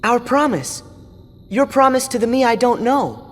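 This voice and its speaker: A man's voice: very high voice, lively intonation, unhurried, full character voice. A man voicing an earnest, curious teenage boy hero in a video game cutscene. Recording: game audio with long reverb and music.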